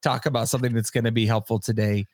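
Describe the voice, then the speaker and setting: Man, podcast host, energetic and enthusiastic, medium pitch, dry recording. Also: fast talker, no room sound. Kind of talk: casual podcast interview.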